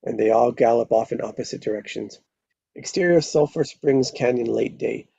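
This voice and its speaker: A man's voice: mid-range voice, normal speed, gravelly, mellow, husky, no room sound. A man reading stage directions as narrator at a live screenplay table read, on a dull lo-fi microphone.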